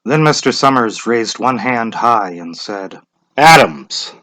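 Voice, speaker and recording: A man narrating a short story as a dramatic reading, measured and deliberate. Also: mid-range voice, animated, pushed and bright, rough recording.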